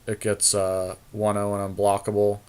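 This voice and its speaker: A man with a fairly low voice chats informally about a game in progress. He thinks aloud in a loose, conversational way, like a podcast co-host. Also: completely flat delivery, moderate pace, darkish tone, clear, resonant tone. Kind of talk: casual chat while drafting cards in a game.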